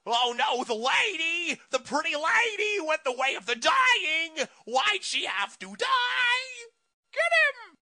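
A woman voicing a loud, silly anime parody character in an exaggerated, shouty manner. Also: very high voice, expressive, a little slow, few pauses, full character voice. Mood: wailing. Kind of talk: comedic anime parody voice acting. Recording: music behind the voice.

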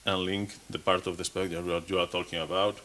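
Halting man giving a tech talk. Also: low-pitched, level tone, fairly bright tone. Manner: halting, many restarts and repeated words. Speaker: conference speaker. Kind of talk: technical conference talk. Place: conference stage.

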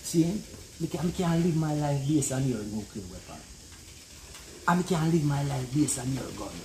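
A middle-aged Jamaican man, a guest in an animated outdoor conversation, who talks emphatically and keeps asking "you see it?". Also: mid-range voice, noisy background. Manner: emphatic, repeats "you see it?", gestures while talking. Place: open-air pavilion in a garden.

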